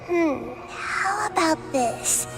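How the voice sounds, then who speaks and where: A woman voicing a chirpy, excitable little girl companion in a video game, exclaiming often and quick to reassure. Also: extremely high pitch, gravelly, full character voice, rough recording. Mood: reassuring. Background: game music.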